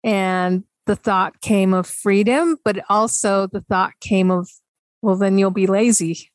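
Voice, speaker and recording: A woman's voice: medium pitch, slow pace, no room sound. Woman, podcast guest, author, thoughtful, candid, reflective, dry close recording. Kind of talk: reflective conversation.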